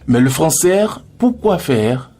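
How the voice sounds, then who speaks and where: Husky male news narrator. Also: on the high side, big pitch swings, dark tone. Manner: measured, formal reading. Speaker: news reporter.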